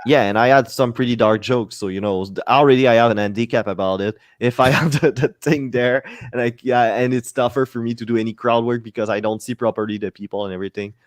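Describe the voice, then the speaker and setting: A man's voice: medium pitch, steady tone, fast, few pauses, no room sound, studio quality. A man, a stand-up comedian, talking bluntly and swearing hard in a casual podcast chat. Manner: blunt, profane, emphatic.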